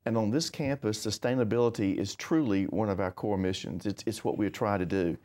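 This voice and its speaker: A man with an American accent hosting a conversational interview, speaking in a deliberate, slightly formal, phrase-by-phrase manner. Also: on the low side, dark tone. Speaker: interview host.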